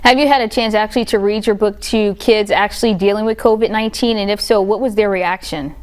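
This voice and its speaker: A woman's voice: medium pitch, fairly even intonation, fairly quick. A woman news anchor introducing a guest and asking interview questions in a conversational, affirming way. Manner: smooth, affirming with short mm-hmms.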